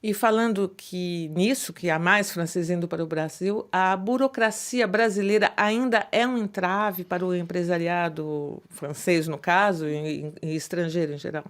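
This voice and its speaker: A woman's voice: lowish voice, fairly even intonation, moderate pace, few pauses. A woman hosting a radio interview in a studio, introducing her guest and asking questions in a composed, formal way.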